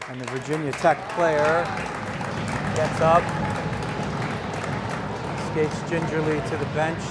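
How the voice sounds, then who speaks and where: A man's voice: on the high side, steady tone, slow pace. A man doing live play-by-play commentary on ice hockey in clipped running calls of the action. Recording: loud crowd and rink noise. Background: crowd and rink noise.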